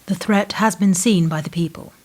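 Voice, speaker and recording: A woman's voice: lowish voice, a little mellow, lightly acted. Actress as cool, controlled woman government minder. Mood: cold menace. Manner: measured, formal, insinuating. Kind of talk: radio play acting.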